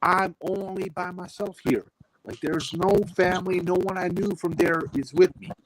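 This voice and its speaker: A man's voice: high-pitched, flat delivery, moderate pace, slight background noise, rough recording. A man from Bosnia with a Bosnian accent, chatting casually as a stream guest over a call, full of fillers and repeated words. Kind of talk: casual chat. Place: Washington home, on a stream call.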